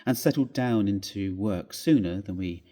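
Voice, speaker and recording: A man with a husky voice reading a story aloud in a measured, expressive, theatrical way. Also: lowish voice. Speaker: reader of a classic ghost story.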